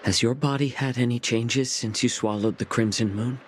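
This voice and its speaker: A man playing a calm, gently teasing blinded healer in a game, polite and wry in delivery. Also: medium pitch, normal speed, noisy background, natural voice. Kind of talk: dramatic game voice acting.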